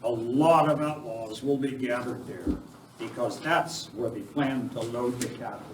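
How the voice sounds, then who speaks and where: A man's voice: medium pitch, unhurried, lightly acted. A man playing a commanding masked cowboy hero in a live amateur radio play. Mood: commanding, brisk. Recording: distant, echoey live recording, faint noise.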